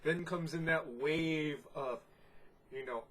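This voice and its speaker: A congested man giving a casual, descriptive beer tasting review, unhurried and full of "you know" fillers. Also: on the high side, steady tone, fairly bright tone.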